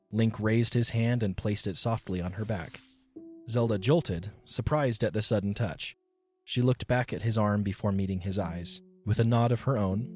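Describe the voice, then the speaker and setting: A man with a fairly low voice narrates an audio drama. His storytelling is scripted, measured and descriptive, with soft music underneath.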